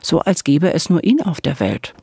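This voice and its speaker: A woman's voice: low-pitched, sing-song, normal speed, vocal fry, mellow, slightly rough, no echo. A middle-aged woman speaks as a true-crime podcast host. Her delivery moves between scripted storytelling and conversation, recorded close and very dry in a studio. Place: small dark podcast studio. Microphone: close studio microphone with headphones.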